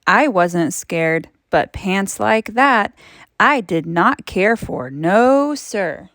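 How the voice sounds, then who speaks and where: A woman teacher reading a storybook aloud to children, expressively, with dramatic emphasis and suspenseful drawn-out phrases. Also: mid-range voice, expressive, a bit bright, no room sound, clean studio sound.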